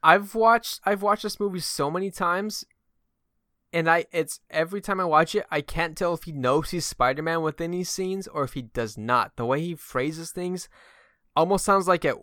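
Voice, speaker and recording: Bright, clear-voiced man talking movies. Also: fairly high pitch, no room sound, studio quality. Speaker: film podcast host. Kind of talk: casual film discussion.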